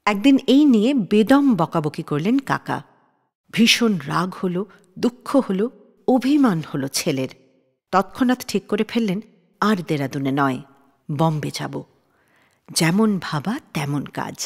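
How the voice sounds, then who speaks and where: Female radio host, animated storytelling delivery, heavy reverb on the voice. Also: lowish voice, measured pace, pauses often. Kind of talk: scripted storytelling narration for a music radio show. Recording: long reverb tail on the voice.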